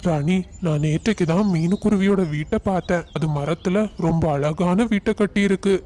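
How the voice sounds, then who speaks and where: A man voicing a lazy, carefree husband crow with a casual, persuasive manner in an animated moral story. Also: high voice, normal speed, lightly acted. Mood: persuading.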